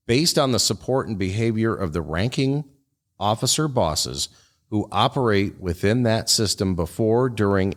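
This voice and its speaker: A man co-hosting a podcast, presenting a segment and reading aloud with casual comment. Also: lowish voice. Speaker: podcast co-host.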